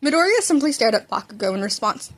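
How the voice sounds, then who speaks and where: A woman with a high voice reads fan fiction aloud. She narrates as an amateur audiobook reader, telling the story between lines of dialogue. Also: very animated, fast talker.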